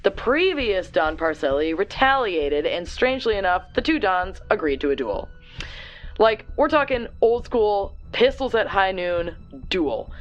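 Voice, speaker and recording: Brash young tech expert in an audio drama, played by a woman: wry, slangy, explanatory, medium pitch, light music underneath. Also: big pitch swings, unhurried, highs cut off. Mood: wry, briefing. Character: brash tech-savvy young woman briefing a superhero teammate. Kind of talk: audio drama acting, conversational exposition.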